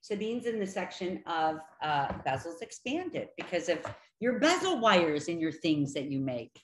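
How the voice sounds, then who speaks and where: A middle-aged woman hosts a relaxed interview over a webcam from her living room, enthusing and praising her guest. Her voice sits on the low side and rings in a roomy space with a faint hiss behind it. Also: a little slow. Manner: enthusiastic, gushing, gestures with hands. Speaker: interviewer and host. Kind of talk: casual video call interview. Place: living room at home, indoors. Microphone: laptop webcam video call. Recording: echoey room, faint background noise.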